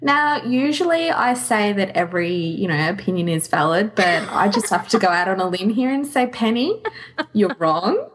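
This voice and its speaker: A woman's voice: medium pitch, expressive, moderate pace. A woman co-hosting a podcast with casual, playful, teasing talk. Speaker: podcast co-host.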